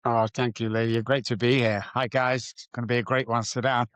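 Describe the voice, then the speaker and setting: A man from England with a Manchester accent, speaking as an addiction recovery coach in a podcast interview, energetic with quick restarts. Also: mid-range voice, clean tone, dry recording. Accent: Manchester English.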